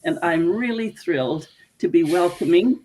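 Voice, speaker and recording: A woman's voice: on the low side, animated, moderate pace, warm tone, a little hiss or hum, low-quality recording. A woman from Canada hosting a casual interview over a video call, chatty and laughing often. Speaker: podcast host.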